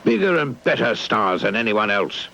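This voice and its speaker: A man's voice: lowish voice, sing-song, moderate pace, creaky, slight background noise, exaggerated voice. A British man voicing a bossy, pompous, growling toy tyrant in a children's puppet story. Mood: pompous, demanding. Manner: demanding, shouty, pompous. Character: blustering, bossy, big-headed male toy tyrant. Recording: dull lo-fi VHS tape transfer.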